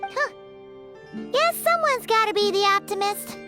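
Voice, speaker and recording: Squeaky little fairy companion. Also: female voice, lively intonation, unhurried, loud music behind the voice. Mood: doubtful. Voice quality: squeaky, childlike. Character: small chirpy childlike fairy companion, female, caring and chatty. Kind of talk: video game cutscene dialogue.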